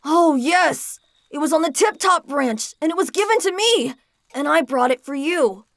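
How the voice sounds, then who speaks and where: A woman's voice: high-pitched, lively intonation, moderate pace, dry recording. A woman playing an eager, kind-hearted young rascal of a boy in an audio drama, earnest and wide-eyed. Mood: eager, apologetic.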